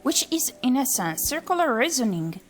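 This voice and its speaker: A woman's voice: sits high, sing-song, moderate pace, faint music behind. A woman playing an earnest, analytical non-human visitor in a game, speaking precisely and formally. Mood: earnest, curious.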